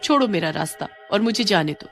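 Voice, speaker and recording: A woman's voice: medium pitch, animated, brisk, creaky, fairly bright tone, soft background music. A woman voicing a menacing, gloating witch who sells almonds and hunts people in a cartoon horror story. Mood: irritated, evasive.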